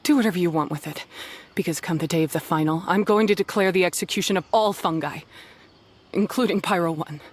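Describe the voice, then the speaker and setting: A woman's voice: on the low side, a little fast, natural voice. A woman playing a hardened, bitter retired mercenary in an anime game, speaking with firm, cynical resolve. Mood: cold resolve. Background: music.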